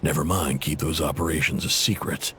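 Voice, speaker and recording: A man's voice: rumbling low, fairly even intonation, brisk, fairly bright tone, a little hiss or hum. A man playing a proud, indebted intel broker in a video game, blunt, casual and guarded, with an exasperated edge. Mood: guarded, informative.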